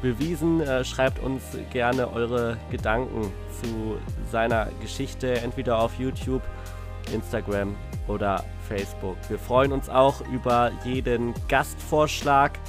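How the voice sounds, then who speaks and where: A man's voice: medium pitch, a little slow, music in the background. A man hosting a podcast with a cold, asking questions and then thanking his guest and addressing listeners eagerly. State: has a cold. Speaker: podcast host. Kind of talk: podcast interview and outro.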